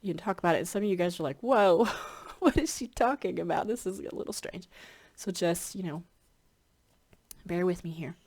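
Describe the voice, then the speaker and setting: A somewhat low-pitched woman talks casually to her followers, her voice a bit stuffy and scratchy from congestion. She rambles along, pausing with frequent ums and restarting her sentences. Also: darkish tone, slightly rough. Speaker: spiritual hypnotherapy practitioner. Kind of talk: casual chat to camera.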